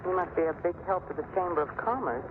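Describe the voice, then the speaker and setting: A woman's voice: on the low side, expressive, fast, close to an everyday voice. An actress playing a witty young society woman with teasing banter in an old radio drama. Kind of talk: 1930s radio acting. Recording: tinny, hissy old radio broadcast.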